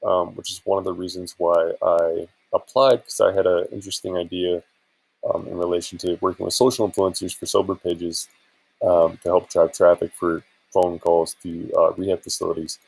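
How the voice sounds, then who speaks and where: A man's voice: deep voice, flat delivery, moderate pace, creaky, darkish tone, breathy edge, rough recording. A man chatting casually in an informal, rambling and hesitant way for a screen-share vlog, sounding a bit tired. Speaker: vlogger and affiliate marketer.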